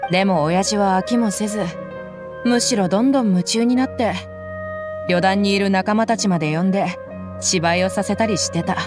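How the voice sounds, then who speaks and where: A woman's voice: medium pitch, normal speed, music in the background, natural voice. Woman voicing a blunt young mercenary. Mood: tough. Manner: rough, casual, relaxed speech. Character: tough young female mercenary, blunt and easygoing.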